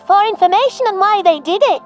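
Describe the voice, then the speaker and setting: A woman's voice: very high voice, animated, a little fast, faint music behind. A woman playing an embittered, distrustful alien bird fleet commander in a sci-fi audio drama, blunt and threatening. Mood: suspicious. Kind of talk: audio drama character acting.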